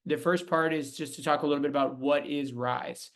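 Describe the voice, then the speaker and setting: A man's voice: fairly high pitch, fairly even intonation, brisk. A man hosting an online information session for a youth program, speaking in a friendly way with many ums and uhs over a video call. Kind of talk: webinar presentation.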